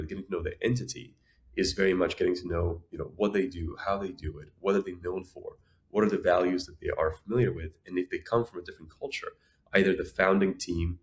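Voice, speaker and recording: A man who grew up in Ukraine and Israel speaking Russian and Ukrainian, giving measured, conversational explanations as an interview guest. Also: deep voice. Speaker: podcast guest, entrepreneur.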